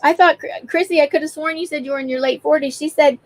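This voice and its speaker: A woman's voice: high-pitched, steady tone, quick pace. A woman hosting a live art stream, chatting casually and reading out and greeting viewers' comments.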